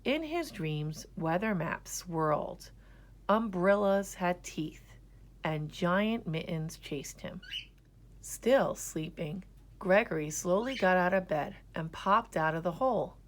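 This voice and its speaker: Woman, children's read-aloud, expressive narration, lively pitch movement, unhurried pace. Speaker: storybook reader.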